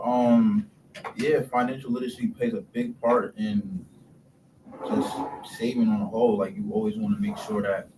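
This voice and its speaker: A young man from Schenectady, New York, on a youth panel, speaking in a relaxed and agreeable way. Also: on the low side, distant mic, background noise.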